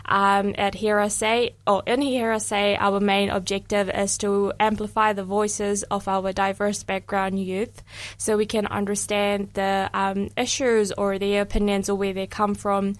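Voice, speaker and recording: A woman's voice: medium pitch, flat delivery, measured pace, no room sound. A young woman hosting a casual community radio show in a studio, talking in a friendly way with many ums and restarts. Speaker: radio host.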